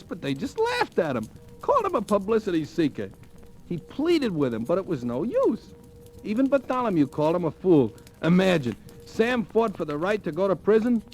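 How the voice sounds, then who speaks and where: An actor playing an excitable, wisecracking American gambler telling his hard-luck story in colloquial vintage radio drama style. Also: fairly high pitch. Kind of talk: 1940s-50s American radio drama acting. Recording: old radio recording with music.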